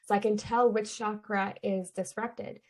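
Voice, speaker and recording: A woman's voice: mid-range voice, flat delivery, measured pace. A woman from Canada, a guest on an interview podcast, earnestly explaining her spiritual healing practice in a conversational way. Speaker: interview guest, shaman and energy healer.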